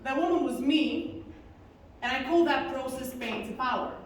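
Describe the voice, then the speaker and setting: Woman, 30s, high voice, confessional conference speaker, heard from far off in a very echoey hall. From Bulgaria. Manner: earnest and confessional, slowing down on key words. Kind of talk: personal story talk on stage. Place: large conference hall stage. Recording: very echoey hall, distant mic, faint noise.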